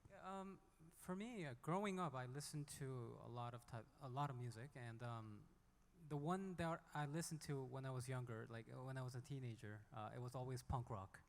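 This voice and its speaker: A man from South Korea, an indie musician, speaking off the cuff and haltingly on a panel. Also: medium pitch. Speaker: indie singer-songwriter on a panel. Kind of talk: unscripted panel talk. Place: hall at a music conference panel. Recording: echoey hall with long reverb.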